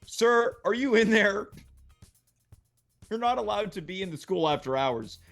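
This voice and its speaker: A commanding male security guard from a comedy script, voiced by a man at a table read. He is formal and stern, pitched higher than usual. Faint music runs underneath. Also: lively intonation, unhurried, gravelly, fairly bright tone, exaggerated voice.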